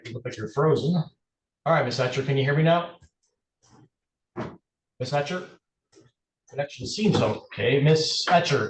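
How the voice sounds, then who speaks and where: A middle-aged man presiding as judge, talking procedurally in a courtroom on a video call. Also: medium pitch. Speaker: judge presiding over a family court hearing. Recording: roomy, distant courtroom microphone on video call.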